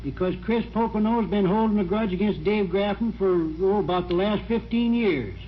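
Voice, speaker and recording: A man's voice: high-pitched, moderate pace, natural voice. An actor playing a good-hearted, homespun middle-aged townsman in an old-time radio drama. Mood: earnest, explaining. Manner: homespun, colloquial. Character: folksy, good-hearted middle-aged small-town man, doctor's friend. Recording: dull vintage radio recording, faint hiss.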